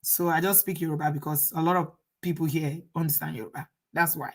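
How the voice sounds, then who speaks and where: A woman's voice: low-pitched, fairly even intonation, quick pace. A middle-aged Nigerian woman hosting a casual live chat, reading viewer comments and asking rhetorical questions. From Nigeria, Edo State. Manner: chatty, rhetorical, laughs at the topic.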